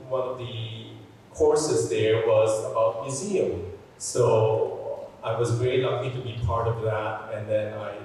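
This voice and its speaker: A collector from the Philippines talks to students in a big gallery. He hesitates and restarts his sentences. He stands off-mic, so the long hall reverb and faint ambience come through clearly. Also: mid-range voice, darkish tone, far from the mic, slight background noise. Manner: halting, with restarts and "uh". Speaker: art collector, guest speaker. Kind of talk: personal talk to students. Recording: echoey hall, speaker off-mic.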